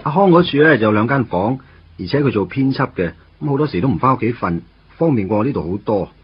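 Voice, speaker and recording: A man's voice: mid-range voice, lively intonation, fast talker, a little hiss or hum, natural voice. An actor playing a sarcastic young man who narrates his own story in a Hong Kong Cantonese radio drama, with dry, teasing quips. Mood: earnest. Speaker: lead character and narrator. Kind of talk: 1990s radio drama acting. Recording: dull lo-fi radio recording, faint music.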